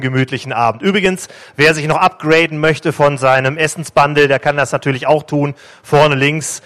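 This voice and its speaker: A man's voice: medium pitch, fairly bright tone. A man hosting an event, making chatty announcements to the guests with hesitant fillers. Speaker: event host.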